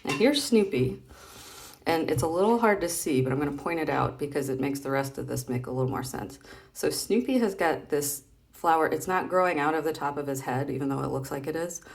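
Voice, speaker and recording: A woman's voice: deep voice, expressive, a little fast, vocal fry. A woman casually reading comics aloud, chatty and playful, thinking aloud with some hesitation as she explains things.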